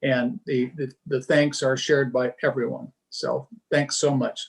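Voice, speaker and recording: A man giving an award speech over a video call, with many pauses and fillers. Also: medium pitch, flat delivery, husky. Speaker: presenter of the award.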